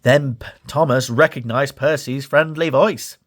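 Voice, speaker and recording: A man reading a children's story aloud with animated, expressive narration. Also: medium pitch, measured pace. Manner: animated, acts out dialogue. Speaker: storybook reader.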